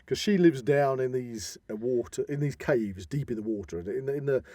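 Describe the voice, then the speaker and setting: Mellow man in casual, rambling film chat. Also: mid-range voice, animated, fairly quick, dry recording, clean studio sound. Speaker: podcast co-host; actor.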